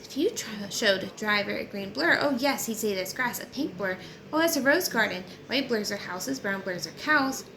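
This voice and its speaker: A woman's voice: mid-range voice, animated, normal speed, a crowd in the background, close to an everyday voice. A woman voicing a chatty, guileless, inquisitive teenage girl from a novel in an audiobook reading. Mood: chatty, earnest. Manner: inquisitive, earnest. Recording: roomy echo with clear background noise.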